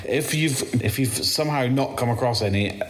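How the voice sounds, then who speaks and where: A man with a Scottish accent playing a weary, rattled zombie-apocalypse survivor in an audio drama, speaking haltingly and swearing as he records his testimony. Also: medium pitch, slight background noise, natural voice. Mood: grave, urgent.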